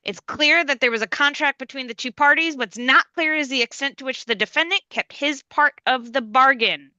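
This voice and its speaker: A woman's voice: high voice, moderate pace, pushed and bright, no echo, lo-fi sound. A woman playing a stern, no-nonsense courtroom judge, authoritative and formal, in a live comedy table read. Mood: ruling.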